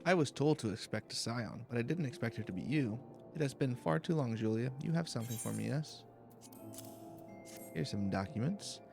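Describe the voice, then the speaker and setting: A man acts out a friendly, jesting official from a fantasy game. He speaks in courteous, old-fashioned phrases with a touch of fry, over faint music. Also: mid-range voice, expressive, normal speed, a little mellow. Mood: amiable, teasing. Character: genial, jesting official welcoming a hero.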